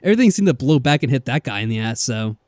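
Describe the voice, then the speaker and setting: A man doing casual let's play commentary, reading game text aloud with animated, mildly sarcastic reactions and some swearing. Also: medium pitch, fast talker, no room sound, studio quality. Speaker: let's player. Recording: music behind the voice.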